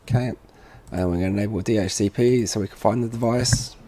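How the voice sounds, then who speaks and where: A man with a fairly low, very soft-edged, mellow voice presents a screen tutorial. He talks informally and thinks aloud as he goes through each step. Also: a little fast, slight background noise. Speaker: tutorial presenter for a security products seller. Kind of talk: casual software walkthrough narration.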